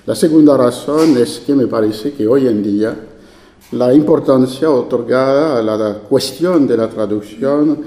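Slow French-accented man lecturing. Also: medium pitch, warm tone. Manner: formal, measured, with occasional hesitations. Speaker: invited speaker. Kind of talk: academic conference lecture. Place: university lecture room. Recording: echoey lecture hall.

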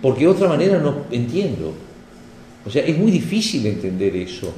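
A man's voice: mid-range voice, big pitch swings, moderate pace, warm tone, a little hiss or hum. Man musing aloud in an echoey room. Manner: discursive, rhetorical questions, building emphasis. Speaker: speaker at a panel talk. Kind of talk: reflective public talk to an audience. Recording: echoey room with faint ambience.

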